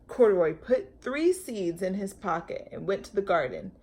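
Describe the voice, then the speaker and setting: A woman reading a children's picture book aloud as a storytime host, with expressive narration, drawn-out words and playful sound effects. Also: mid-range voice, slight background noise.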